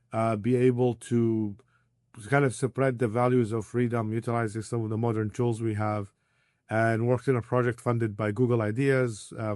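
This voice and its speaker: A man in his thirties, originally from Iraq, talking as a podcast guest over a video call. His voice sits at an ordinary middle pitch and sounds dry and close. He speaks earnestly and thoughtfully, often pausing with fillers and restarting his sentences. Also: darkish tone, no echo. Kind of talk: conversational interview answers. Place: remote call.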